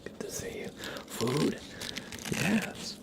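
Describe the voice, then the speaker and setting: A man speaking in a soft, gravelly whisper, chatting casually and gently as an ASMR live streamer greeting viewers. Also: very slow. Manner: gentle, rambling chat with viewers and pet. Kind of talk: ASMR livestream casual chat.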